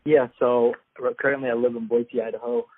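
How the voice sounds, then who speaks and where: Young husky-voiced man on a tinny line. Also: mid-range voice, little pitch movement, a little fast, no room sound. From Afghanistan. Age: young adult. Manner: loose, filler-heavy, plain-spoken. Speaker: guest, MMA fighter. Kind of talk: casual interview answers.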